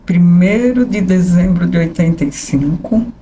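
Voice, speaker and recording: A woman's voice: lowish voice, moderate pace, slight background noise. Chatty São Paulo woman in her sixties. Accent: São Paulo capital Portuguese. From São Paulo state. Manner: animated, often tags "né?". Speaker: guest, journalist. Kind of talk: conversational interview storytelling.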